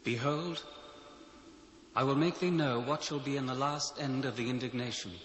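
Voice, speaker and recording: Actor as echoing British angel of prophecy. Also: male voice, medium pitch, fairly bright tone, natural voice. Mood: solemn, authoritative. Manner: solemn, measured, declamatory. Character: authoritative male angel explaining a prophecy. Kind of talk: dramatised scripture reading. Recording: echoing long reverb, faint noise.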